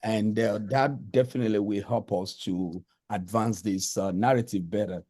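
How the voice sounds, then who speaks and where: A man speaks as a panel guest in a mellow, relaxed tone. He is earnest and pauses to search for the right words. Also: lowish voice. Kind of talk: panel discussion.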